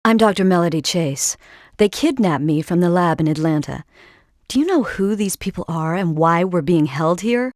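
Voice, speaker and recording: A woman's voice: lowish voice, expressive, moderate pace, darkish tone, dry recording, clean studio sound, lightly acted. An actress playing an anxious captive female scientist in a video game, speaking earnestly. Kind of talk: scripted video game voice acting.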